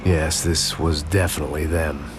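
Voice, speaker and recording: An American man playing a weary, cynical middle-aged ex-cop antihero, narrating in a deadpan, hard-boiled noir style for a video game. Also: rumbling low, normal speed, background noise. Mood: grim, sardonic. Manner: deadpan, sardonic, world-weary. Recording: music playing behind the voice.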